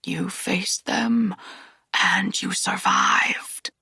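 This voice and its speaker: A woman voicing an ancient male mentor who speaks in a hoarse, insistent whisper. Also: lowish voice, huge pitch swings, extremely slow, sharp tone, no room sound, clean studio sound, heavily acted. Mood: hoarse intensity. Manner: insistent, commanding. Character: ancient male mentor, hoarse, stern, nearly two centuries old.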